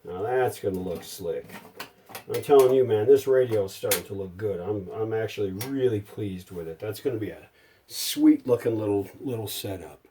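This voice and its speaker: A man's voice: on the low side, moderate pace, mellow, slight background noise. A middle-aged man narrating hands-on workshop repair in a casual, folksy way, chatting and thinking aloud as he works. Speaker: hobbyist restorer presenting a repair.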